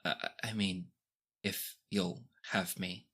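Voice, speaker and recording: A game master voicing a grouchy, blank-faced helmswoman in a tabletop game, speaking in a blunt, deadpan, clipped way. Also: sits low, slow, slightly rough, pitched below the performer's own voice, heavily acted. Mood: shy, muttering.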